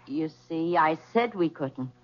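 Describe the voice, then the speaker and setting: A woman's voice: low voice, expressive, unhurried. A middle-aged woman acting in a 1970s radio drama as a guarded, wounded mother of a grown son. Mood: guarded, strained. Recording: muffled vintage radio recording.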